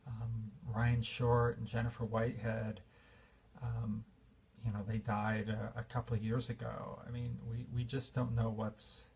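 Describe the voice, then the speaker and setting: A man's voice: medium pitch, level tone, a little slow, gravelly, darkish tone, far from the mic, a little hiss or hum. A man in his fifties giving an earnest, reflective spiritual talk, with fillers and restarts. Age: 50s. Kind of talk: informal spiritual talk to an audience.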